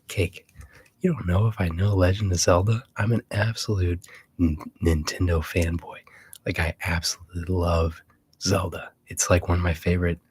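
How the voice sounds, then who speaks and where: A man with a low, very husky voice hosts an ASMR livestream. He drifts between breathy whispering and hushed talk, rambling casually and hesitating as he goes. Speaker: livestream host.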